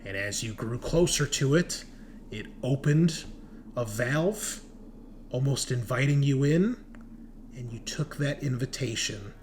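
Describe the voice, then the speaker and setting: A man's voice: medium pitch, unhurried, a little mellow. A man acting as game master, recapping a tabletop horror game in a dramatic, emphatic storytelling manner. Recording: noticeable background noise.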